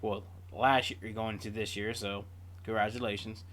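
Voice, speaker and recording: A man's voice: fairly low pitch, little pitch movement, moderate pace, fairly bright tone. A man hosting a reaction video, talking casually as he shares his thoughts.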